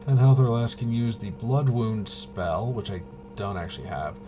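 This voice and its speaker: A man hosting a livestream with casual, unscripted commentary, talking in a halting, rambling way and often trailing off mid-sentence. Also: lowish voice. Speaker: livestream host. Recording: noisy, with clear background hum.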